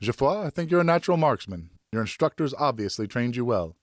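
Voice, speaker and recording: A man's voice: mid-range voice, sing-song, a little fast, no echo, clean studio sound, pitched above the performer's own voice, lightly acted. A man voicing a genial French father and former officer, encouraging and instructive, in an audiobook. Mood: brisk, encouraging. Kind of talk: audiobook character dialogue.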